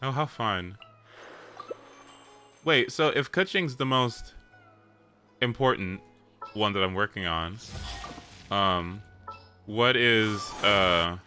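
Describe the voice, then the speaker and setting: A man chatting casually as he streams a video game, often leaping into high, squeaky exclamations. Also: takes it slowly, long pauses, bright, forceful tone, faint music behind. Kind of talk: casual gaming stream chat.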